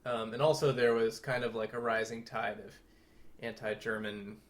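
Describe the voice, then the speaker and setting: A man co-hosting a podcast, talking through film history conversationally in a measured, explanatory and thoughtful way. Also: lowish voice, little pitch movement. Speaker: podcast co-host.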